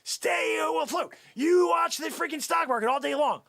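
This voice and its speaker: A man acts out a sleazy, boastful crypto cold-caller in an improvised comedy. His husky voice sits high and swings wildly, bright and forceful. He wheedles, repeats himself and brags without shame. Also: animated, normal speed, exaggerated voice. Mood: frantic, shouting. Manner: brash, wheedling, repetitive, swinging between whispers and yells. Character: pushy, bragging, sleazy cold-call crypto salesman. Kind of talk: improvised comic sales patter.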